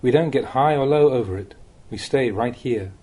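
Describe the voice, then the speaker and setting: A man reading a Buddhist teaching aloud as an audiobook, as a monk would, with measured and expressive phrasing. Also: mid-range voice, slightly rough. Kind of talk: read-aloud Dhamma talk, audiobook narration.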